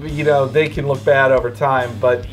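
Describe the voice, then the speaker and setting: A man's voice: mid-range voice, level tone, moderate pace, soft background music. A young man giving casual, conversational commentary as a video game pundit.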